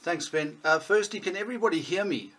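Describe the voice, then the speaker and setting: A man in his thirties with a Southern African accent, teaching Bible lessons over a video call, talking with many pauses and restarts. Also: sits high, expressive, sharp tone. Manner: hesitant, many ums and restarts.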